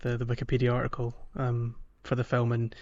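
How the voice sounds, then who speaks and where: A slightly muffled, lo-fi recording of a man with a medium-pitched voice. He co-hosts a podcast, chatting informally. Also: monotone, fairly quick, a little mellow. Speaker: podcast co-host. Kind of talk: casual chat. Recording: dull, lo-fi voice audio.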